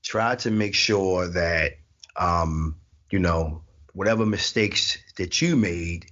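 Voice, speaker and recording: A man in his forties chatting as an interview guest, relaxed, laughing often and repeating his phrases. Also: sits low, takes it slowly, muffled recording. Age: 40s. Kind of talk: casual interview chat.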